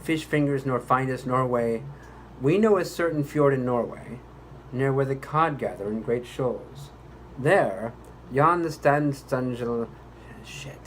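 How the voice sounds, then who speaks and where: A man's voice: medium pitch, slow pace, a little hiss or hum, lightly acted, poor audio. Man acting a pompous, exasperated voice-over actor. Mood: weary, grumbling. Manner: grandiose, indignant, condescending. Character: exasperated, pompous male commercial voice actor at a recording session. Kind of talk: re-enacted commercial recording outtake.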